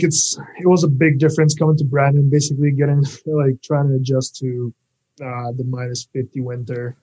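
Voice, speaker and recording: A young man from the Czech Republic, a Czech native speaker, answering interview questions in English in a relaxed, halting way with many fillers. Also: on the high side, dull audio. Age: young adult. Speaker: guest, pro hockey player. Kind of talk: casual interview chat.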